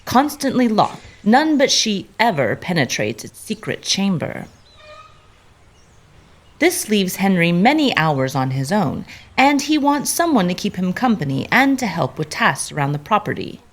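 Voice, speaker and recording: A woman narrator reading a scripted dramatic tale with deliberate, suspenseful phrasing. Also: medium pitch, sing-song.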